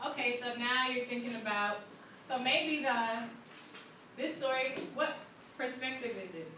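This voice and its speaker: A woman with a high voice teaches a class, prompting with short questions. She sounds distant from the microphone in a very echoey room, with clear background noise. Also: measured pace, pushed and bright. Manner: checks understanding with short questions. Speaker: English teacher. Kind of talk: interactive classroom lesson. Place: indoor school classroom.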